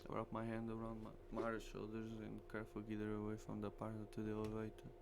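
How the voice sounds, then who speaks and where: Gravelly man reading aloud. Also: lowish voice, completely flat delivery, quick pace. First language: Portuguese. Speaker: streamer. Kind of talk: reading a visual novel aloud. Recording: clearly noisy stream with hum.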